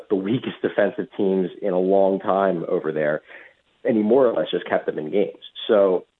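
A man speaking as a hockey reporter and radio guest, giving measured, conversational sports analysis at length over a phone line. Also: deep voice, breathy edge. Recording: muffled tinny phone line.

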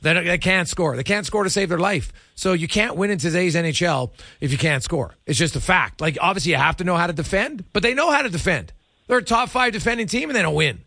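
A man's voice: high voice, expressive, fast, pushed and bright, dry recording. A male sports radio host giving emphatic, opinionated hockey commentary in short, punchy sentences.

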